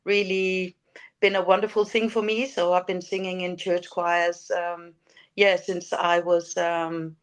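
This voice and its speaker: A woman's voice: fairly low pitch, little pitch movement, unhurried, clear, resonant tone. An older woman from Denmark, a native Danish speaker, talking casually as an interview guest and thinking aloud hesitantly. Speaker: podcast guest, lifestyle coach.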